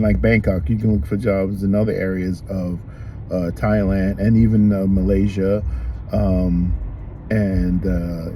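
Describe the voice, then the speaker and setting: A man in his fifties from New York, vlogging casually in a car while he drives, rambling slowly with many ums and restarts, filmed on a phone held at arm's length. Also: on the low side, distant mic, low-quality recording. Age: early retirement age, 50s. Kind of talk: casual vlog talk while driving. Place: inside a car, driving. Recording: phone mic held back, loud car and road noise. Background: car and road noise.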